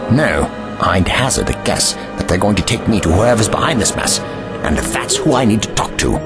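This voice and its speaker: An actor playing a shrewd time-travelling alien gentleman in an audio drama, dry, musing and authoritative. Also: lowish voice, lively intonation, normal speed, loud music behind the voice, lightly acted. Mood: wry resolve.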